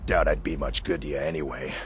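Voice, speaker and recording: A man's voice: rumbling low, steady tone, fast, a little hiss or hum. A male actor playing a wounded, bitter assassin in a video game, speaking in a grim, terse, strained mutter. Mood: grim, pained. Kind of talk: video game character acting.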